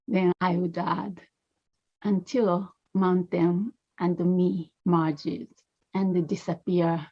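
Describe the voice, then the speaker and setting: A woman leading a guided meditation, speaking softly and unhurriedly in short phrases with long pauses. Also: medium pitch, slightly rough, dull audio. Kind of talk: guided meditation, gentle spoken instruction.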